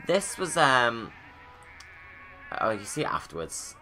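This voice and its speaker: A man's voice: mid-range voice, bright, forceful tone. A man giving informal, rambling creator's commentary on his own video, casual and off the cuff.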